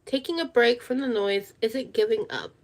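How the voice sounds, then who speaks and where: A woman's voice: fairly high pitch, moderate pace, gravelly. A woman hosting a casual livestream, chatting in a rambling way and repeating her words. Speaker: livestream host.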